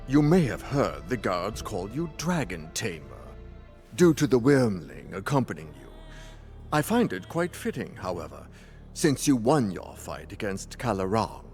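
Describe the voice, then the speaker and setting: A man's voice: on the low side, exaggerated voice. A man playing an authoritative leader of a hunters' council in a video game, formal and commanding. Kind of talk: video game cutscene acting.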